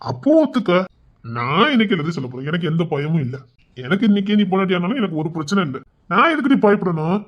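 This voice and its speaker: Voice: mid-range voice, very animated, quick pace, dull audio. A performer playing a stubborn, threatening young person in an amateur melodrama, insistent and badgering.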